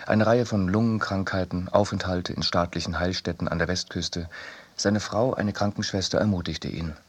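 A man's voice: sits low, fairly even intonation, normal speed. A man reading as a sober, laconic chronicler in literary radio narration. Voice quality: husky.

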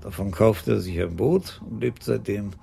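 An actor playing an elderly police commissioner in a radio play, courteous, dry and measured, with a gravelly, husky voice. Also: male voice, lowish voice, warm tone, full character voice. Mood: reflective.

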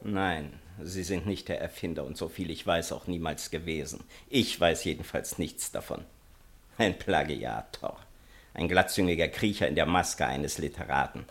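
An actor playing an indignant, pompous literary gentleman in a radio drama, accusing with sneering, grandiloquent phrasing. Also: extremely low pitch, moderate pace. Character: indignant, pompous male man of letters accusing a rival. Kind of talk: theatrical radio drama acting.

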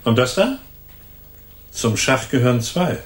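A man's voice: medium pitch, big pitch swings, unhurried. An actor playing a cynical, hardened ex-convict gunman in a radio crime drama, talking in sneering, slangy tough-guy phrases. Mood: menacing, resigned. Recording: roomy studio echo.

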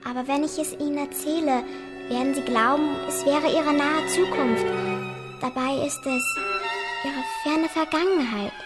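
A woman's voice: sits high, unhurried, loud music behind the voice. An actress playing a curious, thoughtful nine-year-old girl in a radio drama, with an eager, questioning manner.

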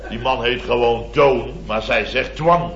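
An actor playing a blustering, indignant middle-aged married contractor, telling a rambling, exasperated comic anecdote in a radio serial. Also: male voice, mid-range voice, a little slow, natural voice. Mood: exasperated storytelling. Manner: exasperated, rambling, mimics others mockingly. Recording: muffled old radio, echoey, audience laughter.

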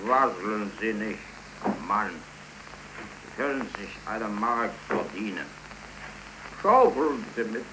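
A man acting a gruff, impatient working man with blunt, colloquial speech in a 1930s radio play. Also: fairly low pitch, animated, slow pace, pauses often. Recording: noisy crackly 1931 radio recording.

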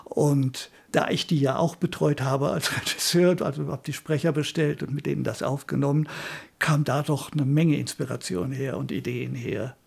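A man's voice: on the high side, steady tone, normal speed, a little mellow. An older man talking reflectively in an interview about his work as a radio director. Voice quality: husky, creaky. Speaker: retired radio drama director being interviewed.

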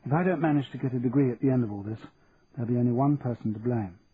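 A man's voice: mid-range voice, racing, phone-quality sound, a little hiss or hum, natural voice. A man voicing a witty, frank young female student with a wry manner in a story reading. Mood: wry, rueful.

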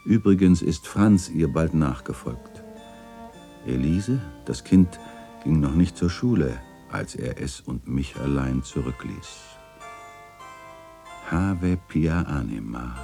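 An actor playing a melancholy old chronicler in a literary radio play, narrating in a wistful, theatrical and solemn way. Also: male voice, very deep voice, animated, slow pace, vocal fry, mellow, faint music behind, heavily acted. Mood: mournful. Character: melancholy old male chronicler remembering his neighbourhood and its dead.

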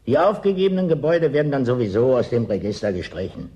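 An actor in a German radio play as an evasive, pedantic official who stubbornly denies danger. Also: male voice, mid-range voice, sing-song, fast talker, mellow, natural voice. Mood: officious, matter-of-fact. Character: evasive male settlement bureaucrat, stubbornly denying the danger.

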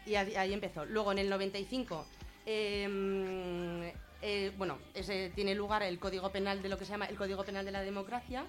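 A woman with a Spanish accent from Spain gives an informal explanation as a radio guest, colloquial and often halting as she thinks aloud. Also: mid-range voice, steady tone, soft background music. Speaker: guest explaining gender-violence legislation on a radio show.

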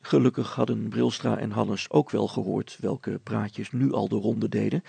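A man's voice: lowish voice, steady tone, unhurried, vocal fry, mellow, husky, no room sound. A man reading a scripted children's story as its narrator.

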